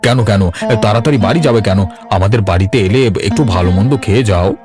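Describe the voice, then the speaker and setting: A man's voice: fairly low pitch, fast, lightly acted. A man playing a sinister, vengeful grandfather who can feign kindness in a cartoon story. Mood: coaxing, kindly. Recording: music under the voice.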